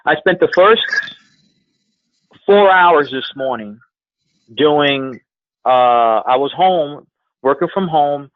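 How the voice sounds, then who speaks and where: A man's voice: a little high, animated, slow pace, pauses often, no echo. A man giving a motivational sales coaching talk on a conference call, speaking emphatically and repeating phrases for effect. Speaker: sales trainer, guest speaker. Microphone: telephone. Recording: tinny, band-limited phone conference line.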